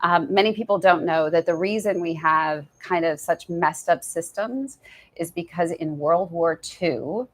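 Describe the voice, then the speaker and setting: A soft-spoken woman speaking as an author guest at a live event, thoughtful and prone to restarts. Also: fairly low pitch, measured pace. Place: event venue with audience.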